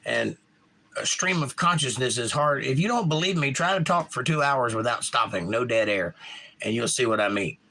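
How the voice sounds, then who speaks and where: Man, Georgia accent, bright tone, rambling casual streamer, reads chat aloud. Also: mid-range voice, animated, moderate pace. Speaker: live stream host.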